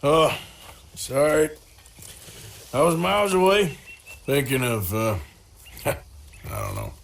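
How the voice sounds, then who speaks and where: Slow-drawling, weary American outlaw. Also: male voice, medium pitch, wildly swooping pitch, vocal fry, slight background noise, heavily acted. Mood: distracted. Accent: American, rural Western drawl. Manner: halting, plain-spoken drawl. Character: weary, remorseful rugged male outlaw gunslinger. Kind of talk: dramatic video game acting.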